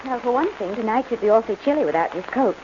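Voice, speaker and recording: A woman's voice: fairly high pitch, lively intonation, racing, natural voice. Woman acting as teasing worried american wife, 1940s radio drama, voice swoops around, hissy sound. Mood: playful, affectionate. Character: affectionate, teasing, worrying wife of a bowler. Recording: noisy, muffled 1940s radio recording.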